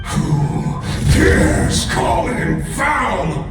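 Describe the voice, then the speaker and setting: An actor playing a fanatical, power-crazed game villain who struggles to speak, halting and strained. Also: medium pitch, sing-song, shouting. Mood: outraged. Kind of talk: dramatic video game villain acting. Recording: music behind the voice.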